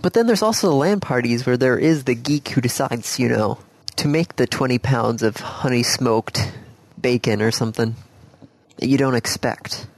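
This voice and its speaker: A man's voice: mid-range voice, normal speed. A man with a Midwestern accent co-hosting a podcast, telling rambling, laid-back stories in casual chat. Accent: American Midwest. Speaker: podcast co-host.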